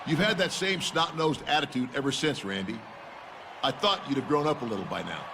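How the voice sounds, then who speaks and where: A condescending veteran wrestler. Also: male voice, mid-range voice, animated, vocal fry, noisy background. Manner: condescending, slow and deliberate. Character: veteran male wrestling legend, condescending and knowing.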